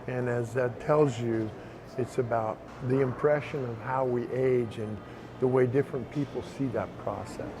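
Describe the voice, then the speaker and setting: A man informally explaining a project, halting as he searches for words. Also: mid-range voice, steady tone. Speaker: project creator explaining his photo project. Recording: clearly noisy background.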